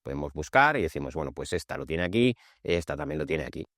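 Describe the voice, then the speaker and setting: A man's voice: rumbling low, very animated, fast, fairly bright tone, no room sound, clean studio sound. A man with a Spanish accent from Spain gives an informal board game tutorial, conversationally walking through rules and often checking that listeners follow. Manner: conversational, checking understanding with frequent short tags. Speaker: board game channel host.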